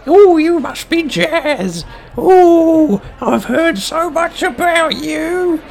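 Guy doing a super high squeaky lady, gushing and overexcited, improv radio comedy. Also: expressive, very slow, creaky, a little mellow, faint music behind, exaggerated voice. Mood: gushing, delighted. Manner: effusive, exclamatory. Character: gushing, excitable woman on a blind date.

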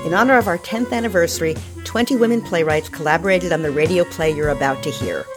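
Lowish-voiced woman over prominent music. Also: fast talker. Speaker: artistic director introducing a radio play. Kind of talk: scripted introduction. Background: guitar music.

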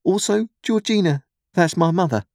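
Scared little boy, way high thin voice, timid n polite, pleading, audiobook, dry sound. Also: animated, moderate pace, a little mellow, no room sound. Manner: pleading, deferential. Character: small, timid, frightened, polite orphan boy.

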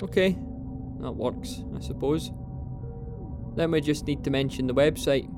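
A man's voice: a little high, measured pace, close to an everyday voice. A man playing an eager, slightly defensive crewmate in a conversational scripted audio drama. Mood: resigned. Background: music.

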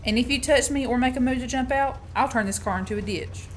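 A woman's voice: on the high side, fast, natural voice. A woman acting a feisty, skeptical young woman in a radio play, prim and challenging. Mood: defiant. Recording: noisy student radio play recording.

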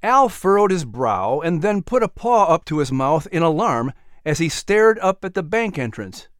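A man's voice: a little high, sing-song, normal speed, no room sound, studio quality. A man narrating a children's story from a script as the storyteller.

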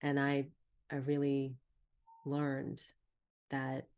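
Female podcast guest, low-pitched voice, casual and reflective conversational delivery. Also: completely flat delivery, extremely slow, darkish tone, clear, resonant tone. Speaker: podcast guest, Human Design teacher.

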